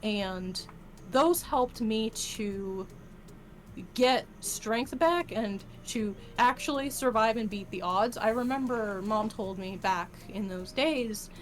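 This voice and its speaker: A woman's voice: medium pitch, slow, a little hiss or hum. A woman with a rural American country accent, chatting as a guest on a stream in a boisterous, rowdy way and swearing freely. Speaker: guest singer. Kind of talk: casual chat on a stream.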